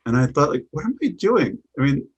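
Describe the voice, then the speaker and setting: A man's voice: mid-range voice, big pitch swings, fairly quick, husky, muffled recording. A middle-aged man with a Chicago accent, answering interview questions in a thoughtful way, often repeating words as he restarts. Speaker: guest, business coach.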